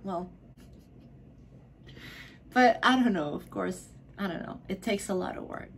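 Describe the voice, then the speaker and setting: Drunk woman, slow and gravelly. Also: mid-range voice, animated, slight background noise. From Philippines. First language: Filipino (Tagalog). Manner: slow, slurred, giggly, trailing off into whispers. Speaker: vlogger. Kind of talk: casual Q&A vlog. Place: bedroom at home, indoors.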